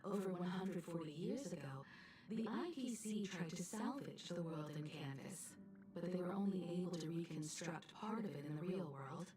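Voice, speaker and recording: An actress playing an earnest, gentle young woman in a video game, recounting legends in a measured, sincere way. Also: on the low side, far from the mic, close to an everyday voice. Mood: grave, curious. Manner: measured, sincere, story-telling delivery. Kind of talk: scripted video game dialogue.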